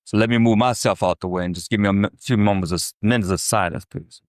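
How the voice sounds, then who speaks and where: A man's voice: fairly low pitch, fast, no echo, studio quality. Blunt streetwise Chicago man in his fifties. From South Side of Chicago. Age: 50s. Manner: blunt, streetwise and profane, with frequent "right?" asides. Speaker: recovery speaker. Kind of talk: personal recovery testimony to a meeting. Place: recovery meeting room.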